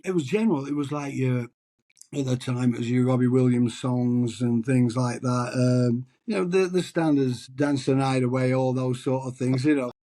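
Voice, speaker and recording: Husky man. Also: medium pitch, moderate pace, mellow. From England. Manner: self-deprecating, rambling storyteller with many restarts. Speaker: tribute singer guest. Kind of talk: casual interview chat.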